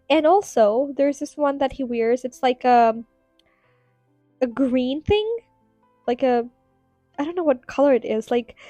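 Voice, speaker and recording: Casting: higher-voiced woman, rambling podcast host, giggly, voice tiring, dry close mic. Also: warm tone, no echo. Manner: chatty, giggly, rambling with fillers. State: tired, strained voice. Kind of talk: casual solo podcast ramble.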